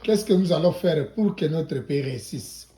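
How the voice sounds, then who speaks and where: A man's voice: fairly high pitch, lively intonation, moderate pace, crowd noise. A man with a Congolese accent asking conversational questions as an interviewer, in a roomy, echoing recording.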